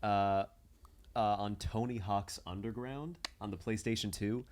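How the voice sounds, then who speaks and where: Guy podcast host, lowish voice, casual stories, explains things step by step. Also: fairly even intonation, a little slow, a little mellow. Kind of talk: casual chat, anecdotes.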